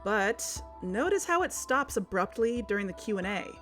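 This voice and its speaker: A woman's voice: mid-range voice, sing-song, normal speed, a bit bright, soft background music. A woman playing a suspicious, determined investigator in a scripted investigative podcast drama. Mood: explanatory, assertive.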